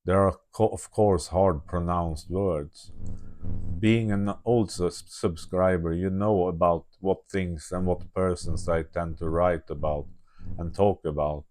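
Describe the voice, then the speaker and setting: Low-voiced Swedish man reading aloud. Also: fairly even intonation, darkish tone. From Sweden. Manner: slow, careful reading with self-corrections. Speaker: vlogger. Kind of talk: reading a prepared diary monologue. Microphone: phone with external microphone.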